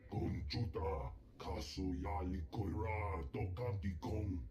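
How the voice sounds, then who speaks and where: An actor playing a big, imperious alien Hutt crime lord in a video game, with a pompous manner. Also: male voice, sits low, slow, a little mellow, a little hiss or hum. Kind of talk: video game creature voice acting.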